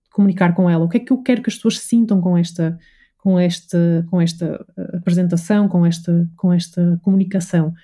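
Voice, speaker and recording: Very mellow Portuguese woman explaining. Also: medium pitch. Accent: European Portuguese. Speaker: voice and communication coach, interview guest. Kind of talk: conversational interview explanation.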